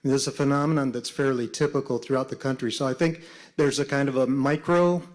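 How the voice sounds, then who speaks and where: Male panelist, reflective and deliberate delivery. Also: medium pitch, fairly even intonation. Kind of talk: panel discussion.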